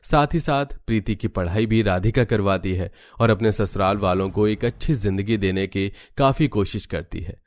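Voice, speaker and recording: Guy with a lowish voice narrating a storybook tale, scripted read. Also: normal speed, hardly any pauses, no room sound, clean studio sound.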